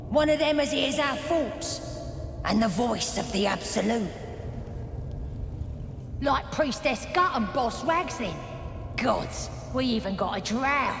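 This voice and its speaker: Creaky, high-pitched goblin guard. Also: female voice, reverberant, long reverb tail, slight background noise. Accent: English, working-class. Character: gruff, suspicious female goblin guard, devout cultist. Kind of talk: video game character acting.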